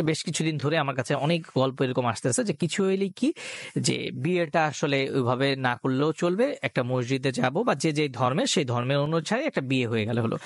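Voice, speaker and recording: A man's voice: on the high side, no room sound. A man with a Bangladeshi accent hosting a radio show, speaking earnestly to listeners in emphatic appeals and mixing in English words. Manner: code-mixes English words into speech. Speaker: radio show host and presenter.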